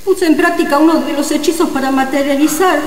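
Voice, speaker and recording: Woman playing a high-voiced coy amateur sorceress. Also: steady tone, fairly quick, lightly acted. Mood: coy, evasive. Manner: coy, evasive, theatrical. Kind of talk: radio comedy drama acting. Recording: echoey reverberant sound, faint noise.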